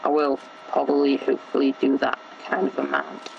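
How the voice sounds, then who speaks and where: A teenage boy with a sore, croaky throat, rambling casually through gameplay commentary with many fillers and restarts. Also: medium pitch, completely flat delivery, a little fast, lo-fi sound, slight background noise. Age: teens. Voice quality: gravelly.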